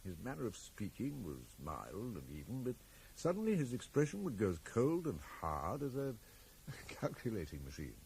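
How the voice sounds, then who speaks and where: An actor playing a worried, protective middle-aged father in a 1980s radio drama, speaking formally and with deliberate hesitation. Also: lowish voice, huge pitch swings, creaky, a little mellow, exaggerated voice. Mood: uneasy, brooding. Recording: slightly noisy old radio broadcast recording.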